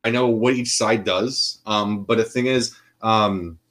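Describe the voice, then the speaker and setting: A man's voice: lowish voice, fairly even intonation, measured pace, fairly bright tone. A man co-hosting a podcast, talking loosely with many restarts and fillers. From Austria. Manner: rambling, full of restarts and fillers. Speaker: podcast co-host. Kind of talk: casual chat.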